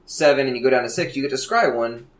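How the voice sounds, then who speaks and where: A man's voice: mid-range voice, fast talker. A man co-hosting a casual hobby podcast, talking in an enthusiastic, earnest way. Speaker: podcast co-host.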